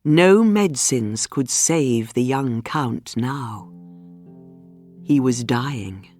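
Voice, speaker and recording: A woman reading a scripted storybook for English learners, with measured, clearly phrased storytelling. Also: very deep voice, animated, a little mellow, soft background music. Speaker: audiobook narrator.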